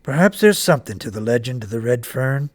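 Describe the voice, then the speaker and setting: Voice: sing-song, no echo. A narrator playing a grave, thoughtful country father, devout and solemn, in a storybook reading. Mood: solemn, reflective. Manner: low, slow, solemn.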